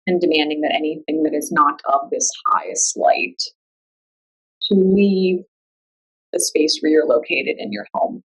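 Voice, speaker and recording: A woman guiding a meditation in a calm, soothing, unhurried way, leaving long pauses between instructions. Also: sits low, breathy edge, poor audio. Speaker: yoga nidra meditation guide. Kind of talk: guided meditation.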